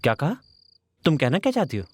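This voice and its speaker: Volatile bridegroom, wildly swinging pitch. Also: male voice, wildly swooping pitch, quick pace, no room sound. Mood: impatient, suspicious. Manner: overwrought, theatrical, repeating himself. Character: volatile, guilty bridegroom with a murderous past. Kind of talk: melodramatic Hindi screen drama acting.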